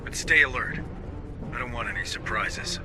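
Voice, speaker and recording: An actor playing a curt, authoritative and wary space marine officer in a sci-fi game. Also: male voice, medium pitch, moderate pace, music in the background, close to an everyday voice. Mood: stern, commanding. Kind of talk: video game voice acting.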